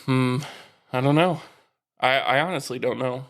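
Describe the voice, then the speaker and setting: A man's voice: mid-range voice, moderate pace, a bit bright. American man chatting casually about sports. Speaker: sports podcast co-host.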